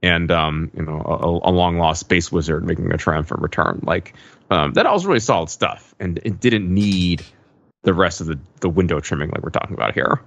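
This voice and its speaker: A man's voice: sits low, lively intonation, moderate pace, gravelly, a bit bright, highs cut off. A man co-hosting a podcast, talking casually and analytically in rambling sentences with many asides. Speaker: podcast co-host. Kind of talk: casual analytical pop-culture discussion.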